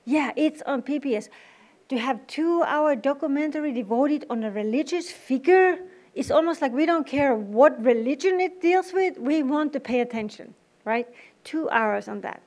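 A woman's voice: on the high side, darkish tone. A middle-aged woman from Finland with a Finnish accent, giving a conversational university lecture at a podium, with wry asides. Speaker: guest lecturer. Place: large auditorium at a podium. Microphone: podium microphone.

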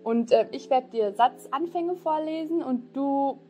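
A woman's voice: sits high, a little slow, mellow, faint music behind. A woman hosting a podcast interview, upbeat and laughing often, in a casual chat. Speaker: podcast host. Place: adult education center.

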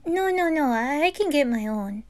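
A woman voicing a shy, downcast teenage anime boy, speaking quietly and hesitantly in an amateur audio roleplay. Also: high-pitched, expressive, moderate pace, pitched above the performer's own voice. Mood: subdued, shy.

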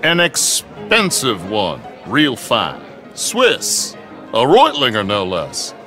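An actor playing a contemptuous, hot-tempered rich gambler in a western video game, boastful and clipped. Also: male voice, medium pitch, wildly swooping pitch, takes it slowly, sharp tone, soft background music, exaggerated voice. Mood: haughty, contemptuous. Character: haughty, hot-tempered rich gambler at a riverboat poker table. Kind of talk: video game cutscene acting.

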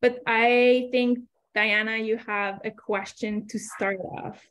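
A woman's voice: a little high, fairly even intonation, takes it slowly, fairly bright tone, slight background noise. A young woman moderating an online panel informally and inviting speakers in. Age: young adult. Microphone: wired earphones on video call.

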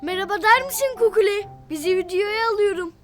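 Tiny, very high-pitched eager cartoon critter. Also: female voice, fairly quick. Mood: excited, chatty. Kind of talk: children's cartoon voice acting. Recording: music behind the voice. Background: cartoon music.

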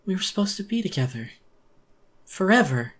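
Male narrator as deranged she-beast, raised pitch, menacing, obsessive, anguished, horror audiobook. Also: very animated, moderate pace, a bit bright, heavily acted. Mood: anguished, dazed. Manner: menacing, unhinged, dramatic. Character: deranged female animal monster, vicious, obsessive and anguished.

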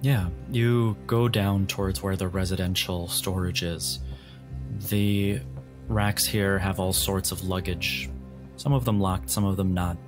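A man narrating a tabletop game as game master in a deliberate, atmospheric, descriptive way. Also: low-pitched, fairly even intonation, music in the background.

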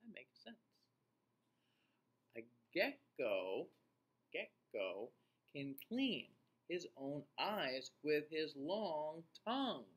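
Very slow, expressive man reading to children. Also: on the high side. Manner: deliberate, expressive, pausing to question listeners. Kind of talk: picture-book read-aloud for young children.

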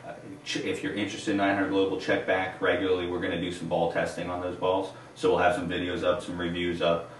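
A man's voice: low voice, monotone, fast, a little hiss or hum. A man in his thirties co-hosting a casual talk show about bowling gear, easygoing and chatty, recorded from a far camera in a studio room. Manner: easygoing, chatty, jokes around. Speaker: co-host. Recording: echoey room from a distant mic.

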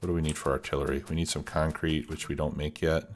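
A man's voice: rumbling low, level tone, quick pace, slight background noise. A man with a stuffed-up cold, casually commenting on his gameplay as a let's play host, thinking aloud with many fillers. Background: background music and keyboard typing.

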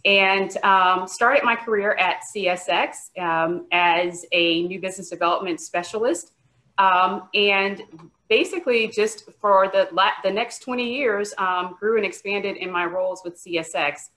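Bright-voiced woman guest on a video call. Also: medium pitch, steady tone, unhurried. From Jacksonville, Florida. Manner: friendly, many "um" fillers and restarts. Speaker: guest, business executive. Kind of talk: casual interview answers. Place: room at home on a video call.

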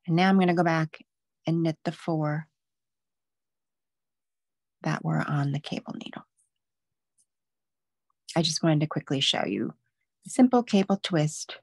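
Woman knitting teacher for a live demo; calm stepwise explainer, slightly low and fry-tinged. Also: measured pace, long pauses, no room sound, studio quality. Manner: patient step-by-step explaining. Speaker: knitting instructor. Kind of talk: live online craft demo.

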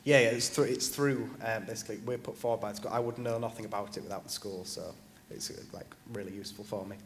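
Teenage student in a reverberant hall. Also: male voice, on the low side, fairly even intonation, quick pace, a little hiss or hum. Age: teens. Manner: casual, many basicallys and likes. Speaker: student panelist. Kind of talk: panel conversation answers. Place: large conference stage. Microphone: handheld mic.

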